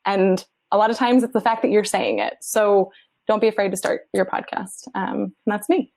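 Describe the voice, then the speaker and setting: A woman with an average-pitched voice speaks as a panelist. She gives advice in an informal way. Her manner is explanatory and encouraging. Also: moderate pace, darkish tone. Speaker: podcast professional giving advice as a panelist.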